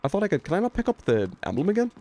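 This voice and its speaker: A man's voice: sits high, huge pitch swings, very fast, a little mellow. A man chatting casually as a game streamer, rambling in a self-effacing, sweary way. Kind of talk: casual chat while streaming gameplay.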